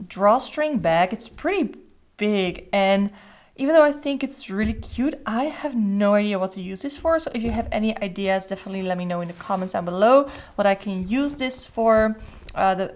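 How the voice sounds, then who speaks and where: A woman with a Dutch accent hosts a relaxed flip-through of a tarot deck, speaking English as her second language. She chats in a loose, rambling way, with fillers and restarts as she goes. Her voice sits at a middle pitch. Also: normal speed. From Netherlands. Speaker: tarot channel host.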